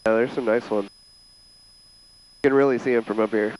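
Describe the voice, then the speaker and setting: Man, medium pitch, casual pilot vlogger, explanatory cockpit chat, headset mic. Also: steady tone, fairly quick, a little mellow, low-quality recording. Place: inside a small airplane cockpit in flight.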